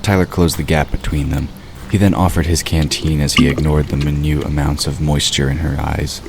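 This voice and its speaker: A man narrating an audio drama in a calm, measured way. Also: a bass voice, gravelly, noisy background.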